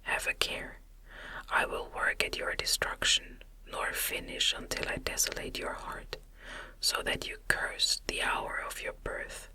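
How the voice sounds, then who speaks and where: A woman voicing a miserable, vengeful, articulate man-made monster in a breathy, unvoiced whisper, for a gothic audiobook. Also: measured pace, bright, forceful tone, rough recording.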